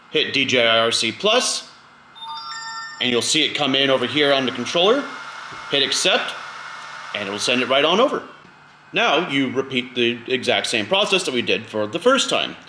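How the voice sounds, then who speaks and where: Lively, bright-voiced man giving a tutorial. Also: medium pitch, roomy sound, slight background noise. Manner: informal, conversational. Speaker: video host giving a drone tutorial. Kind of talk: casual step-by-step tutorial.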